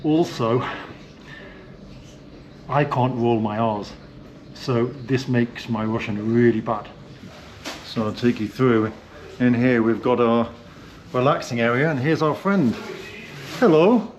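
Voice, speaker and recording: A man's voice: medium pitch, takes it slowly, long pauses, a little hiss or hum, rough recording. Chatty British man on a walkabout. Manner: chatty, loose, with frequent fillers. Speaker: vlog host. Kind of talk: casual walking-tour vlog. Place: indoors, hotel and sauna cellar.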